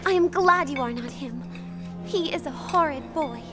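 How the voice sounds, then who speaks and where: A woman's voice: sits high, normal speed, over music, natural voice. A woman playing a scornful gossip at a royal court in an audio drama.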